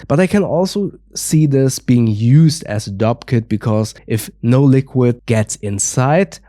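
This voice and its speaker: A man's voice: medium pitch, mellow, no room sound, studio quality. Congested man reviewing gear. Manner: careful, methodical, hedging with "a little bit". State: stuffy nose from a cold. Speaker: product reviewer.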